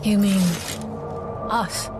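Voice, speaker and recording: Guarded warrior, terse, little pitch movement, dark fantasy game, loud music underneath. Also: female voice, flat delivery, drawn-out words. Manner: terse, questioning, restrained. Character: stern, guarded warrior sister.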